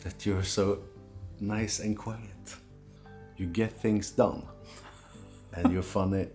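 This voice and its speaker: A man answering questions in a casual chat, giving short, quiet replies with some hesitation. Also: lowish voice, steady tone, takes it slowly, soft background music. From Sweden. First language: Swedish.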